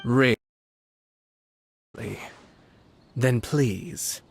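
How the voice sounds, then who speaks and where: Dignified young noble strategist, measured and quietly resolute, anime game dialogue with music underneath. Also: male voice, medium pitch. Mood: calm. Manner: formal, measured, calmly authoritative. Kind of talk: anime video game voice acting. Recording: game audio over clear music.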